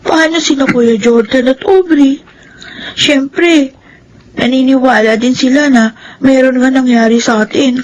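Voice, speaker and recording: A woman's voice: high voice, steady tone, a little slow, slight background noise, low-quality recording. An actress playing an anxious, naive young woman, earnest and worried, in a Philippine radio drama. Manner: pleading, worried.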